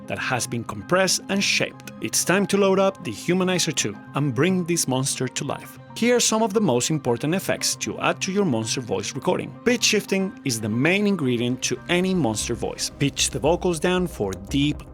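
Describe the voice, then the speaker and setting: A man reading a scripted instructional narration in an articulate, measured way, as a tutorial narrator. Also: on the high side, big pitch swings, soft background music.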